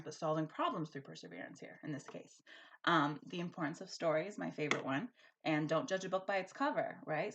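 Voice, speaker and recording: A woman teacher speaking expressively and emphatically, as in a storybook read-aloud for a school lesson. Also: medium pitch. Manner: animated, emphatic.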